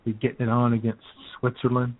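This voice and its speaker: A man's voice: mid-range voice, completely flat delivery, fast talker, dry recording. A man co-hosting an internet radio show with casual, irreverent, wisecracking comedic banter. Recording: thin phone-like internet radio sound.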